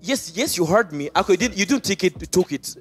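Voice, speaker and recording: A woman's voice: lowish voice, animated, a little fast, faint music behind. A woman co-hosting a casual chat show, teasing and joking. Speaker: podcast co-host.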